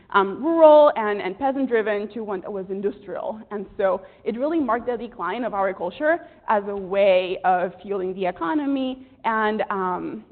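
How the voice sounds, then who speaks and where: A woman from Rico, giving an earnest academic presentation to a room full of students, with frequent ums. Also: mid-range voice. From Puerto Rico. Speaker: research presenter. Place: lecture room with an audience. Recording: tinny, echoey lecture hall recording.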